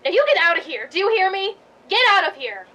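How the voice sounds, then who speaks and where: An actress playing an imperious, short-tempered film star with a haughty, snappish manner in a radio drama. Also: female voice, very high voice, big pitch swings, fast talker, pushed and bright, exaggerated voice. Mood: angry, scornful. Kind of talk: theatrical radio drama acting.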